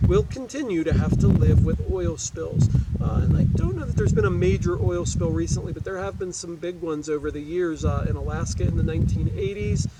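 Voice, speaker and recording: High-pitched American man teaching a read-aloud. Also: brisk, heavy background noise. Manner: instructive, asks questions and checks understanding. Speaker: teacher reading aloud to pupils. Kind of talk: educational read-aloud with explanatory asides.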